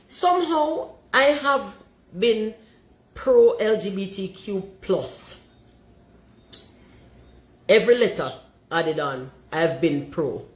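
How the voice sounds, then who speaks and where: Deep-voiced Jamaican woman, forthright. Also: reverberant. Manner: forthright, emphatic, slips into patois. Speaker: commentator.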